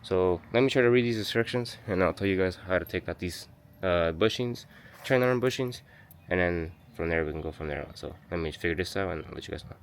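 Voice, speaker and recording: Sick guy with a low deep voice, talking fast in his garage, kinda hoarse, rambly slangy diy walkthrough. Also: slight background noise. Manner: rambling, self-correcting, slangy. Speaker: DIY car channel host. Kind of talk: casual how-to walkthrough. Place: home garage.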